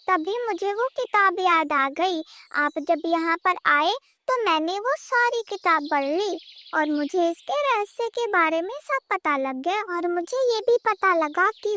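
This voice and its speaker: A woman's voice: extremely high pitch, sharp tone, no room sound, heavily acted. A woman voicing an earnest, loyal young servant who speaks deferentially to a king in a cartoon story. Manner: deferential, pleading, explanatory.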